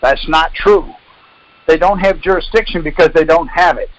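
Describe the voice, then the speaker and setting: A man's voice: on the high side, normal speed, no room sound, rough recording. A man leading a call, explaining and debating in an insistent, corrective way with pointed questions. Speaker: call leader and instructor.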